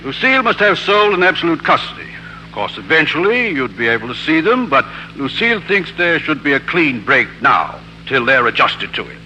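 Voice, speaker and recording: A man's voice: on the high side, very animated, a little fast, bright, forceful tone, exaggerated voice. An actor playing a stiff, formal older father-in-law in a 1940s radio drama. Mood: stiff, reserved. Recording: humming, noisy 1940s radio recording.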